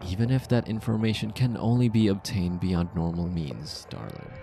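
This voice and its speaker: Man acting a deep-voiced possessive mafia boss. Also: normal speed, gravelly. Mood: possessive, coaxing. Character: possessive male mafia boss, controlling yet tender bridegroom. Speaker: ASMR roleplay voice actor. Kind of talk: ASMR romantic roleplay monologue.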